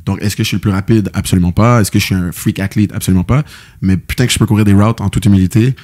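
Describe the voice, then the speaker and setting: A young man with a Québécois accent talks fast on a podcast, piling up fillers and slang as he tells his stories. His voice sits fairly low and sounds mellow and relaxed. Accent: Québécois French. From Quebec, Canada. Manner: quick, loose storytelling with many fillers and slang. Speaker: guest, football player. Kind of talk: casual podcast chat.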